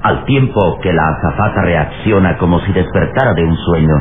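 A man's voice: low voice, animated, moderate pace, narrow, tinny audio, noisy background. A man giving dramatic scripted narration as narrator of an old radio serial. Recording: band-limited old radio serial, music.

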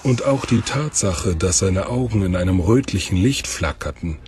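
A man's voice: sits low, lively intonation, moderate pace, darkish tone. A man narrating an audio drama from a script. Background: music.

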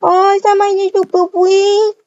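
A woman's voice: very high voice, flat delivery, normal speed, a little mellow, dry recording. A woman playing an easygoing, affectionate young wife and mom in an improvised game roleplay. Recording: dull lo-fi microphone.

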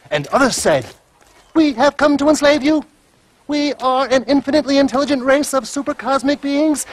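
A man playing an eccentric, paranoid UFO conspiracy nut in a video game, speaking in a jittery, earnest, pseudo-scientific manner. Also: very high-pitched. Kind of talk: video game cutscene dialogue.

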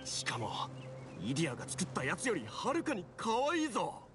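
A man voicing a brusque young railway caretaker in a Japanese anime game, speaking in a rough, casual, animated way. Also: sits high, brisk, exaggerated voice. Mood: amazed. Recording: music behind the voice.

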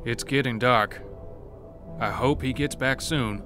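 A man's voice: mid-range voice, takes it slowly, music in the background, lightly acted. An actor playing an uneasy, terse everyday man in a horror audio drama.

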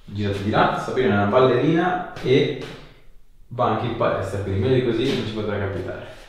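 Echoey, distant man giving brisk instructions. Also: lowish voice. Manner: brisk short directives. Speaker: osteopath. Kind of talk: giving instructions and questioning a patient. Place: small treatment room, indoors. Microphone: camera a few metres away. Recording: roomy echo, distant mic, faint noise.

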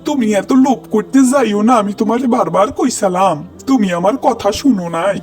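A man's voice: high-pitched, fairly quick, close to an everyday voice. A man voicing an honest, long-suffering village husband in a cartoon, earnest and plaintive, with a Bangladeshi accent. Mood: reproachful. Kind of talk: cartoon voice acting.

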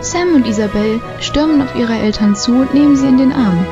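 A woman's voice: a little high, a little fast, dull audio, close to an everyday voice. A woman giving scripted, dramatic narration for a radio play. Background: music.

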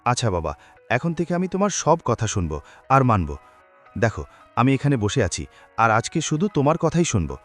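A man's voice: medium pitch, very animated, a little fast, pauses often, faint music behind. A man playing an overwrought, pleading young man haunted by his dead lover in a melodramatic horror cartoon.

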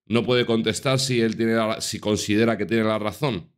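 A man's voice: fairly low pitch, fairly even intonation, moderate pace. A man in his thirties giving emphatic, indignant reaction commentary into a desk microphone in a small room. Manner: indignant, emphatic, rhetorical questions. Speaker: commentary youtuber. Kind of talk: reaction commentary over a TV clip. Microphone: desk microphone on a stand.

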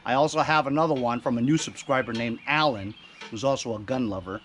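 A man's voice: medium pitch, brisk, a bit bright, a little hiss or hum. A man, chatting casually and rambling with fillers as he fixes machines outdoors, recorded on an action camera. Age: 50s. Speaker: small engine repair vlogger. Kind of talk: casual chat while repairing. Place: outdoors in a driveway and backyard.

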